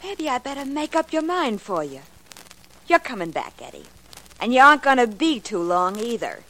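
A woman's voice: fairly high pitch, very animated, moderate pace, pushed and bright, exaggerated voice, low-quality recording. A woman playing a young dancer with a tough streak, plain-spoken and insistent, in an old-time radio crime drama. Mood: cold, threatening. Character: insecure young female dancer turned tough, menacing partner.

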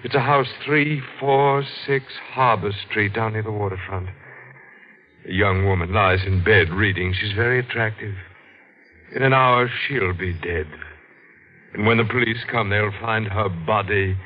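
A man playing a sinister, moody self-proclaimed prophet in a 1940s radio drama, speaking ominously and deliberately. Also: on the low side, lightly acted. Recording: dull vintage radio recording.

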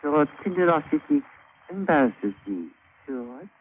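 Slow, sly island schemer, high-pitched. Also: male voice, big pitch swings. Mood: mocking. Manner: slow, sardonic, drawn-out phrasing with broken grammar. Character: sly, treacherous island native man, mockingly polite schemer. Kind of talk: 1940s-50s radio drama acting. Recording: tinny, noisy old radio broadcast.

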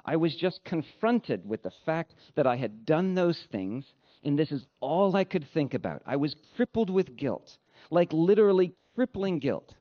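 A man preaching a sermon as a pastor, with an emphatic, measured rhetorical delivery. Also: a little high, sing-song, highs cut off. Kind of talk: sermon with read-out quotations.

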